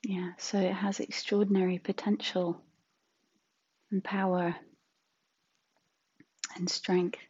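A woman teaching a guided meditation in a soft, breathy, husky voice, gentle and unhurried with long pauses. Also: medium pitch, flat delivery, dull audio.

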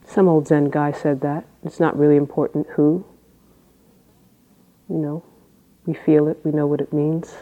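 A woman Zen teacher with a low voice, giving an unhurried dharma talk with halting phrases and long pauses. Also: little pitch movement, warm tone, highs cut off.

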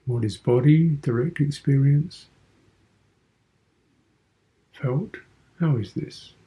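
A man guiding a meditation as a teacher, speaking contemplatively in short, sparse phrases with pauses and gentle questions. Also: on the high side. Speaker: meditation teacher, Buddhist monk. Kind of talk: guided meditation.

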